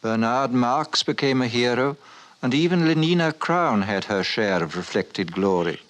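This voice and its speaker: A man narrating a 1950s radio drama in a formal, measured, wry way. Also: mid-range voice, animated, fairly bright tone, slight background noise, lightly acted. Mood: dry, measured. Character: male narrator of a dystopian future story. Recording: old radio broadcast with music underneath.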